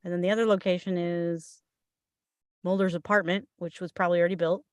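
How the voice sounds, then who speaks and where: A woman's voice: fairly low pitch, flat delivery, a little fast, clear, resonant tone, dry recording, clean studio sound. A woman chatting in a casual group discussion, conversational and ready with her opinions.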